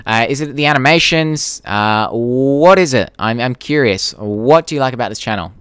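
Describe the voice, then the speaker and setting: Casual, clear-voiced Australian man. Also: medium pitch, lively intonation, moderate pace, a bit bright, no room sound. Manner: blunt, informal, sweary. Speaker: YouTube creator hosting a live Q&A. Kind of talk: casual livestream chat reading viewer comments.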